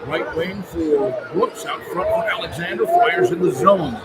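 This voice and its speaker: A male radio announcer calls live basketball from a noisy arena. His voice sits fairly high and moves around a lot as he rattles off clipped descriptions of the action and punches out the scores. A very loud crowd and heavy noise surround him throughout. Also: expressive, a little slow. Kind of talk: live basketball play-by-play commentary. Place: courtside in a large indoor basketball arena. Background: roaring crowd, arena noise.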